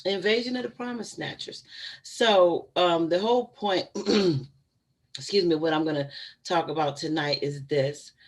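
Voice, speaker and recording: A woman's voice: lowish voice, measured pace, a little hiss or hum. A woman in her fifties hosting a casual livestream from home, chatty and given to laughing asides to her viewers. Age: 50s. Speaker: channel host.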